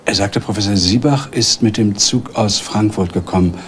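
A man as a low-voiced, officious hotel receptionist. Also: normal speed, a little hiss or hum, lightly acted. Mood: hesitant, reporting. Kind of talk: German crime radio drama acting.

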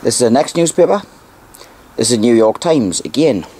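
A man giving an informal show-and-tell narration, reading aloud deliberately and repeating phrases for emphasis, on an amateur home recording. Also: medium pitch, animated, warm tone, breathy edge. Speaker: amateur video presenter.

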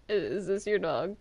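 A woman's voice: medium pitch, creaky, darkish tone. A woman playing a multiplayer game, chatting casually and partly in character. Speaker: player in a Minecraft roleplay. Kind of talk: casual in-character gaming chat.

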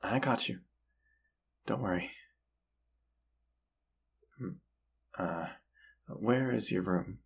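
A man playing a gentle, forgiving vampire hunter and lover in an intimate audio roleplay, speaking tenderly with hesitant pauses. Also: fairly low pitch, vocal fry, slightly rough, exaggerated voice. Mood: tender, soothing.

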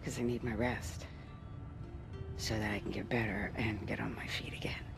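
An old, bedridden woman in a video game. Her voice is breathy and full of gravel, gentle and reassuring with a wry edge, with music underneath. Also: a bass voice, steady tone, moderate pace. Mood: wry, weak. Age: older. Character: tender, weary old woman, bedridden elder. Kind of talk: naturalistic video game acting.

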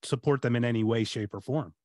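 A man's voice: medium pitch, fairly quick, warm tone, no echo. Middle-aged American man, podcast host, rambling casual talker, dry video-call audio. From United States. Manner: rambling, many restarts and fillers. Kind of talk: casual interview chat. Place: home room, video call.

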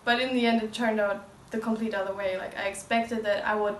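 An eighteen-year-old woman from Germany, a student giving lively, amused interview answers. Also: medium pitch, a little fast, echoey room, recorded from a distance, a little hiss or hum. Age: teens. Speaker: exchange student.

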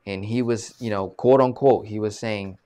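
A man in his thirties hosting a live video-call interview, animated and full of fillers, speaking from a small room. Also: on the low side, normal speed, clear, resonant tone. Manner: animated, gestures a lot, many fillers. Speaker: host. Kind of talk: casual live-stream interview. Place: small room, plain green wall. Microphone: video call webcam.

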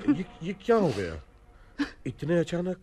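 An actor playing a gossipy, solemn palace servant in a radio play. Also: male voice, a little high, wildly swooping pitch, a little slow, a little mellow. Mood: solemn, busybody.

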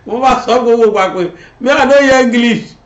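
A man's voice: extremely high pitch, fast. A middle-aged Nigerian man hosting a political commentary show, talking animatedly and gesturing as he sits in a studio. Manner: animated, switching between Yoruba and English, gesturing. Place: indoor studio set. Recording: roomy, dull lo-fi sound.

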